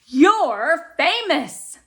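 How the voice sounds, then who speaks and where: A woman voicing a cheerful, doting, excitable mother in a storybook, gushing and chatty. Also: very high-pitched, very slow, gravelly, voice pushed up, full character voice. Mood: excited.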